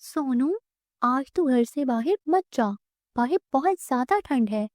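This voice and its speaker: A woman voicing a kind, self-sacrificing young orphan girl, an elder sister in a cartoon moral story, gentle, earnest and polite. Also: high-pitched, lively intonation, slow, dry recording, studio quality, pitched above the performer's own voice. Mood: caring.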